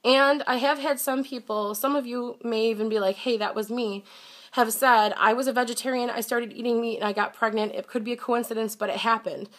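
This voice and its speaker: A woman's voice: on the high side, steady tone, brisk, fairly bright tone. Congested young woman vlogging candidly. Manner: candid, slightly defensive, chatty. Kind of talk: casual talk to camera.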